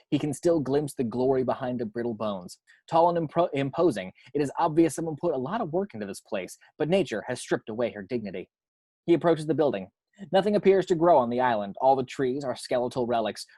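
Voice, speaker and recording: Male narrator for a script table read. Measured, descriptive delivery of stage directions, medium pitch, very dry recording. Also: warm tone, slightly rough, dry recording. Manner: measured, descriptive reading with occasional stumbles.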